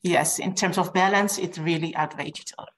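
A woman's voice: lowish voice, steady tone, fairly quick. A middle-aged woman speaking non-native English as a guest in a panel discussion on a video call. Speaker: podcast guest.

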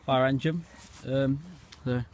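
Teen lad talking into his phone in the woods, kinda monotone, lots of ums, noisy outside. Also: medium pitch, takes it slowly. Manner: rambling, filler-heavy, hushed at night. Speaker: vlogger. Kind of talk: casual camping vlog. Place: outdoors, woods by a lake. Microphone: handheld phone. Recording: phone outdoors with wind noise.

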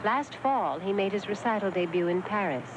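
A woman giving scripted documentary narration in an even, informative manner. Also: mid-range voice, normal speed, very noisy. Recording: dull lo-fi tape with music behind.

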